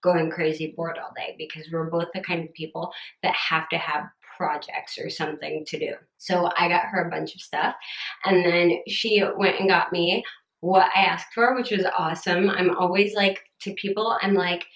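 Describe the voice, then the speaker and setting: A young woman vlogging casually from home, chatty and upbeat, her voice a little scratchy and rough. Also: on the low side, fairly even intonation, moderate pace, a bit bright, roomy sound. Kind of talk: casual haul vlog chat.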